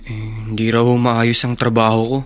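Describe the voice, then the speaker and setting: Actor as young male gardener, mid-pitched, meek, apologetic, stammering, Filipino accent, radio drama. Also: monotone, normal speed, close to an everyday voice. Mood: meek, downcast. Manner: deferential, hesitant, stammering.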